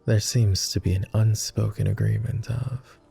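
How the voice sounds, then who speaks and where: A man's voice: on the low side, little pitch movement, normal speed, creaky, warm tone, breathy edge, faint music behind, heavily acted. A man voicing a devoted, world-weary immortal vampire companion in intimate roleplay ASMR, speaking tenderly and earnestly in formal phrasing. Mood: wistful, earnest. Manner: tender, earnest, hesitant, somewhat formal speech.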